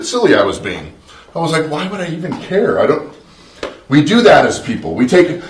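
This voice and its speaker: Middle-aged male pastor in an echoey sanctuary. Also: medium pitch. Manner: earnest, conversational preaching with frequent restarts. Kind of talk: sermon. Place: small church sanctuary, indoors. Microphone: far camera, no visible mic. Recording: roomy echo from far off.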